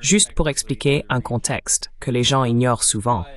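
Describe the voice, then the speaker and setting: A man giving measured, didactic political commentary as a dubbed interview guest, stressing his key points. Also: medium pitch, fairly bright tone.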